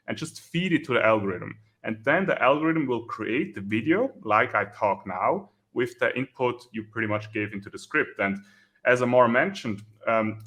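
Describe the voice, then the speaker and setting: A man with a strong German accent, calmly explaining the technical side as a security researcher co-hosting a video talk. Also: mid-range voice. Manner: methodical, explanatory.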